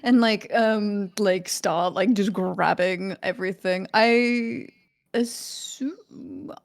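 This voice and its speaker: A woman's voice: medium pitch, steady tone, slow, vocal fry. A woman chatting casually as a player in a tabletop game.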